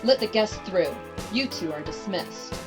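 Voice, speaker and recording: A dutiful, curt palace officer voiced by a woman in an animated game style. The voice sits at a middle pitch, clipped and formal, over prominent music. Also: measured pace, natural voice. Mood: stern. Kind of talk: anime-style game fan dub acting.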